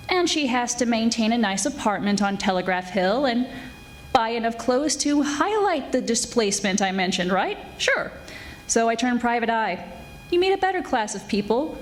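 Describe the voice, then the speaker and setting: A woman's voice: fairly high pitch, a little hiss or hum, lightly acted. A woman playing a wry, breezy female private eye who narrates in 1940s radio detective style. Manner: wry, breezy, flirtatious deadpan. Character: wisecracking glamorous female private eye, former model. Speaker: radio theatre actor. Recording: live stage recording with music.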